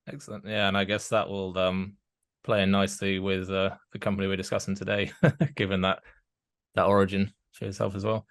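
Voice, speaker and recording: A man hosts a casual interview podcast in a fairly low voice. The sound is close and dry, with no room on it. He feels his way into each question, halting and rephrasing as he goes. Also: completely flat delivery, no room sound.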